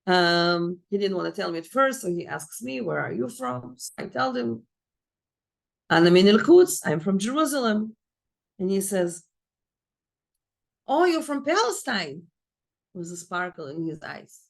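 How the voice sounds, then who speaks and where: Gravelly-voiced woman telling a story. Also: medium pitch, very animated, unhurried, long pauses. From Israel. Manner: storytelling, acts out dialogue. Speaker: course teacher. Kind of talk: lecture with a personal story.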